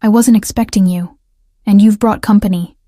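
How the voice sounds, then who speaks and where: A woman voicing an older male mafia boss, speaking slowly, softly and coldly in a storybook reading. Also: mid-range voice, fairly even intonation, dry recording, clean studio sound, lightly acted. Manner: slow, soft and cold.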